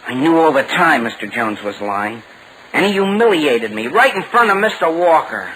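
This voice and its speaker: An actor playing an earnest, plaintive teenage son in a 1940s radio sitcom, on a noisy vintage broadcast. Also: male voice, on the high side, big pitch swings, normal speed. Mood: aggrieved. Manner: boyish, plaintive, exclamatory.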